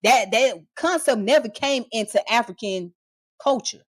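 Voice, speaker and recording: A woman's voice: fairly high pitch, animated, moderate pace, no echo, clean studio sound. Fiery profane woman ranting on stream. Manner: heated, profane and mocking, with scornful laughs; confrontational, addressing viewers directly. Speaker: online streamer. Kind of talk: ranting live commentary.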